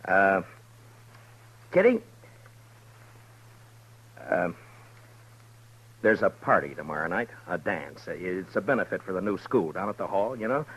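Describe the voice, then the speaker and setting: An actor playing a bashful, easygoing frontier marshal in a 1950s radio western, talking in a halting, folksy way. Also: male voice, low voice, sing-song, fairly bright tone, husky, full character voice. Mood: bashful, awkward. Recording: faintly noisy 1950s radio recording.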